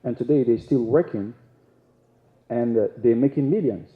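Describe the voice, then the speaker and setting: Man explaining on a conference panel. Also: medium pitch. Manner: halting, restarts, explains step by step. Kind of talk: panel discussion talk. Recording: echoey hall, dull sound.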